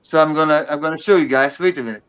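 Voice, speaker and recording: Guy showing his beers, slightly high voice, hunting for words. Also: rapid-fire, fairly bright tone, clear, resonant tone, dull audio. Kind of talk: casual chat on a video call.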